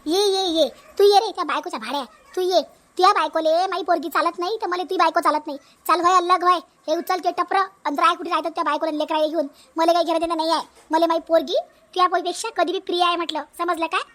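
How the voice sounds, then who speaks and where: A woman's voice: extremely high pitch, fairly even intonation, fast, a bit bright. A woman playing a domineering, spiteful elderly mother-in-law in a village comedy cartoon, scolding in a Vidarbha Marathi accent. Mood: furious, defiant. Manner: scolding, commanding, repetitive.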